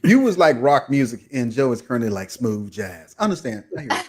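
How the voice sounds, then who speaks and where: A man hosting a casual podcast chat, animated and quick to laugh as he leads the discussion. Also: medium pitch, a crowd in the background. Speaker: podcast host.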